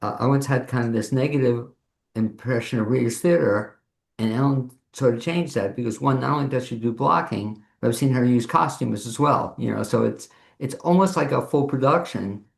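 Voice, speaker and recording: In a roomy, echoing recording, a man hosts a conversational interview. His voice is mid-pitched with plenty of gravelly fry, and he puts questions as if thinking out loud. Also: moderate pace. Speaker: host, interviewer. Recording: echoey room sound.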